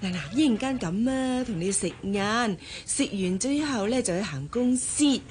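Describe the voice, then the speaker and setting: An actress playing a chatty, vain, gossipy young office secretary in a radio drama, speaking Hong Kong Cantonese with English words dropped in. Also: female voice, mid-range voice, expressive, moderate pace, a little mellow, a little hiss or hum, close to an everyday voice. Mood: breezy, gushing.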